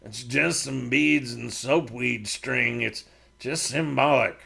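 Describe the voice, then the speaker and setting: A man voicing a stubborn frontier man who talks in a slow, low, grumbling drawl. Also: gravelly, pushed and bright, exaggerated voice.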